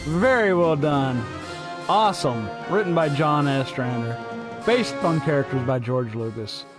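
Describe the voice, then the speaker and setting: Casting: male reaction host, casual and gushing, a bit higher-pitched, faint music behind. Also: measured pace. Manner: excited, gushing. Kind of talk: casual enthusiastic reaction commentary.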